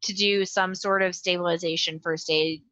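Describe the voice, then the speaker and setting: A woman's voice: fairly low pitch, steady tone, normal speed, bright, forceful tone, no room sound, lo-fi sound. A woman chatting casually as a player in a tabletop roleplaying game.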